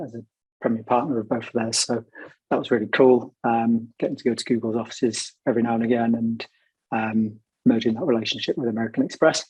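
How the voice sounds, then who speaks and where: A man's voice: mid-range voice, darkish tone, slightly rough, no room sound, low-quality recording. A man with a sore throat, talking casually and at length about his career as a panel guest. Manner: chatty, rambling storytelling.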